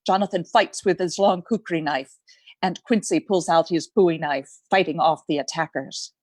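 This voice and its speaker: A woman's voice: on the low side, normal speed, a little mellow, no echo, clean studio sound, natural voice. A woman giving dramatic scripted narration for a radio play.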